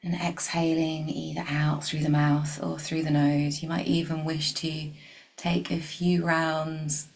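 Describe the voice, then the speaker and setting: A woman guides a meditation very slowly, leaving long pauses between short phrases. Her pitch stays on the low side and quite level, with a gravelly fry in it. The delivery is soft and soothing, sometimes thinning toward a breathy whisper. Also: little pitch movement, poor audio.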